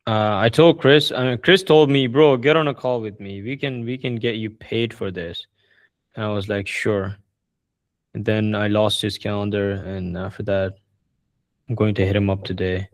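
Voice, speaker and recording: A man hosting a casual group video call, relaxed and hesitant, often restarting his sentences. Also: on the low side, normal speed, no echo. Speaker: call host answering members' questions.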